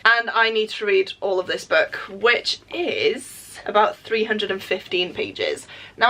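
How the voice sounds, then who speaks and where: A woman's voice: medium pitch, sharp tone. A Welsh woman vlogging about books at home, chatty and enthusiastic, with a slight nasal tone from a cold. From Wales. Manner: chatty and enthusiastic, frequent "so yeah" and "definitely". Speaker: book vlogger. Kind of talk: casual reading vlog talk to camera.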